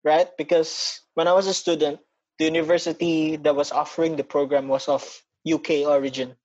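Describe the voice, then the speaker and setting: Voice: medium pitch, flat delivery, a little fast, a little mellow, muffled recording. A speaker from Indonesia with American-leaning English, a school English program coordinator talking things over reflectively in a casual chat. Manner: reflective, self-questioning.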